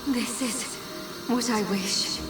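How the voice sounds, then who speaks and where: An actress playing a noble, compassionate heroine in a video game, earnest and full of emotion. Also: female voice, fairly high pitch, slow pace. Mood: serene resolve. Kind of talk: dramatic video game voice acting. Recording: echoing, under loud game music.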